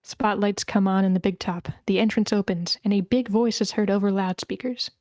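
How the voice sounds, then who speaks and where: Lady reading script directions as narrator, medium pitch, dry mic no echo. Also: fairly even intonation, moderate pace, mellow.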